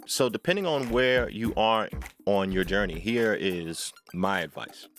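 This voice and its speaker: A man hosting an informal music tutorial, speaking in emphatic, deliberate phrases and often checking in with rhetorical tag questions. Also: fairly low pitch, a bit bright. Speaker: music tutorial host, vocalist and producer. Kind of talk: informal instructional talk to camera.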